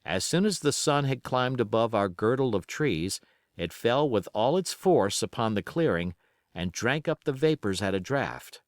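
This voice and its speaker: A man reading literary narration as an audiobook, expressive and measured in his storytelling. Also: mid-range voice, no room sound, clean studio sound.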